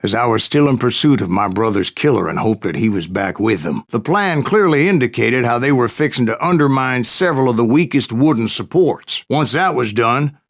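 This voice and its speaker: An actor playing a grizzled old Wild West gunslinger in a video game, telling boastful tales in a folksy, theatrical American Western drawl with a gravelly voice. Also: male voice, mid-range voice, animated, normal speed, few pauses. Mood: boastful, recounting. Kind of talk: dramatic first-person storytelling narration for a western game.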